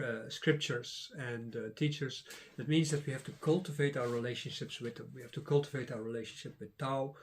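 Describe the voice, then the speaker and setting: A middle-aged man whose first language is Dutch gives a casual lesson, pausing often with filler sounds. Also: mid-range voice. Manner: rambling, many filler sounds and restarts. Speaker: Taoist teacher and channel host.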